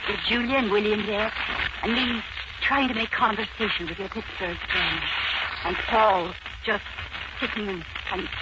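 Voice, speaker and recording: An actress playing a young Irish housemaid in love, with an Irish accent and earnest, emotional 1940s radio acting. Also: female voice, mid-range voice, steady tone, moderate pace, close to an everyday voice. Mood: heated, pained. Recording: noisy 1940s radio broadcast, muffled.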